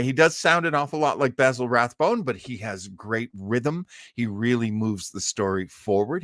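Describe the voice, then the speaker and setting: A man hosting a live-streamed show, chatting casually and jokily with viewers, rambling along and quick to chuckle. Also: mid-range voice, fairly bright tone, no echo, clean studio sound.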